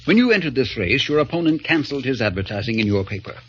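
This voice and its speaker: A man's voice: mid-range voice, big pitch swings, fast, fairly bright tone, slightly rough. A scheming small-town politician from an old radio play. He is pompous and oily, with grand formal phrasing. The recording is dull and lo-fi. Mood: smug, persuasive. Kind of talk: old-time radio acting.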